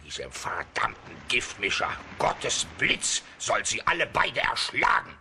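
A man acting a scrappy, cheeky wanderer and loyal sidekick in an animated, expressive children's radio drama style. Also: medium pitch, a little slow, slight background noise, exaggerated voice. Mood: grim, cursing.

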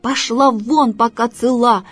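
A woman's voice: rumbling low, slow pace, pushed and bright, slightly rough, faint music behind, pitched below the performer's own voice, heavily acted. A woman voicing a greedy, menacing male cat from a storybook, hissing threats.

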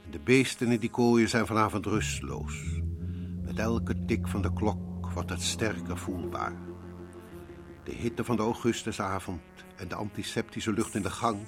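A man narrating a radio drama from a script in a measured, suspenseful way. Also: fairly low pitch, expressive. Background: faint music.

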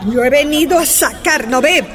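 An actress playing an entitled, indignant engineer's wife in a radio drama. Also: female voice, high-pitched, normal speed, music in the background, crowd noise, natural voice.

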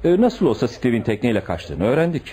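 An actor playing an eager, self-assured police detective reporting to a superior in a radio drama. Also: male voice, mid-range voice, big pitch swings, brisk, mellow, close to an everyday voice. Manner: confident, reporting.

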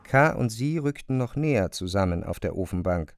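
An actor playing the narrator of a literary radio play, telling the story in a composed, observant manner. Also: male voice, lowish voice, expressive, normal speed, vocal fry. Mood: composed, reflective.

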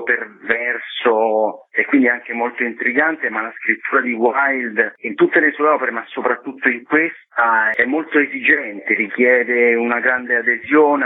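Over a narrow telephone line comes a man with a medium-pitched voice. He is a theatre director being interviewed on the radio, explaining his work in an articulate, discursive way. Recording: phone-like narrow band radio call.

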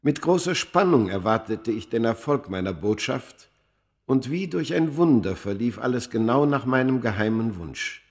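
A man playing a proud ancient Egyptian who narrates his own story in a radio play, solemn, measured and dramatic. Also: medium pitch, animated, lightly acted. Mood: reflective. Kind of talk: literary first-person narration in a radio play.

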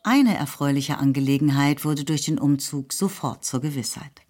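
A woman's voice: low-pitched, moderate pace, darkish tone. A woman narrating a radio drama in a scripted literary style. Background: music.

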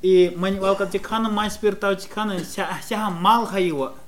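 A man interpreting a sermon phrase by phrase, quick and hesitant, with fillers. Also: high-pitched, steady tone.

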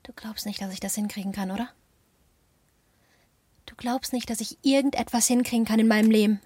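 A woman's voice: a little high, fairly even intonation, a little fast, darkish tone, breathy edge, no room sound. An actress playing a stubborn, delusional postwoman who dreams of stardom, speaking insistently and self-importantly in a radio drama. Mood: hurt, intense. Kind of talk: German radio drama acting.

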